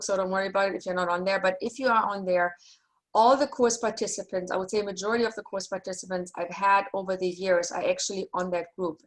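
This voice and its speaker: A middle-aged woman with a German accent, a native German speaker, giving a relaxed, reassuring orientation for her online course over a video call. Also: mid-range voice. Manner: relaxed, reassuring, chatty with small restarts. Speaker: university course instructor.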